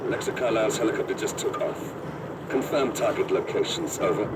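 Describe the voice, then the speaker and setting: An actor playing a gruff, urgent covert field operative in a video game, talking in clipped phrases. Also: male voice, fairly low pitch, lively intonation, a little fast, recorded from a distance, very noisy, lightly acted. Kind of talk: cinematic video game voice acting.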